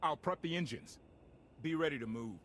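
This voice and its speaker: A wisecracking, excitable starship pilot for a video game. His voice sits a little high and swings animatedly, brash and exclamatory, over loud-ish engine and battle noise. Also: normal speed. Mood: brisk.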